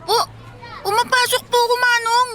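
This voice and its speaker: A woman's voice: extremely high pitch, steady tone, moderate pace, crowd noise, background noise. A woman acting a timid, earnest Filipino schoolboy who speaks hesitantly and politely in a radio drama. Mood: defensive, nervous. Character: timid, earnest poor schoolboy, apologetic and eager. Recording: music bed behind the voice.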